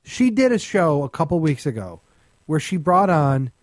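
A man's voice: fairly high pitch, very animated, moderate pace, no room sound. A man co-hosting a comedic radio talk show, ranting with profane sarcasm and mocking imitations.

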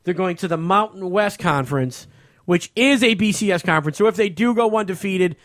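A man's voice: high voice, lively intonation, fairly quick, fairly bright tone, no room sound, studio quality. An American man on a casual sports talk show, ranting in an exasperated, emphatic way and repeating himself. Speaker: podcast host.

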